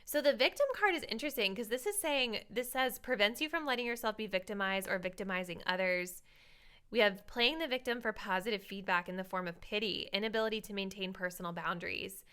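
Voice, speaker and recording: Young woman, tarot reader, casual chat, slightly raspy, bright tone. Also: mid-range voice. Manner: chatty, many "like"s, thinks aloud. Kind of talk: casual tarot card reading to viewers.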